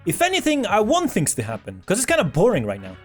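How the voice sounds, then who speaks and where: A man's voice: sits high, wildly swooping pitch, fast talker, over loud music. A man hosting a scripted esports news video in a casual, sarcastic, jokey way with some swearing. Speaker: video host.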